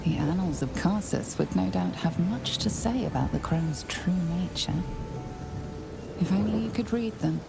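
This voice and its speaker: Low, creaky, ominous fantasy narrator. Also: female voice, lively intonation, over music. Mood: knowing. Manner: deliberate, ominous, knowing. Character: solemn, scheming narrator of a dark fantasy quest. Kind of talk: dramatic second-person game narration.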